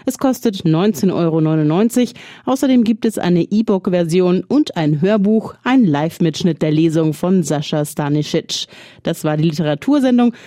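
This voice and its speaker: A woman hosting a radio literature show, reading her script in a calm, measured way. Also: on the low side, no room sound, clean studio sound. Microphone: studio microphone.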